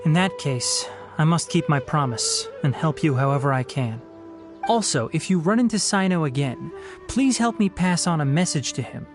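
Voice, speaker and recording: An actor playing a polite, earnest young ranger in a video game, speaking thoughtfully over game music. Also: male voice, on the high side, expressive, moderate pace, natural voice.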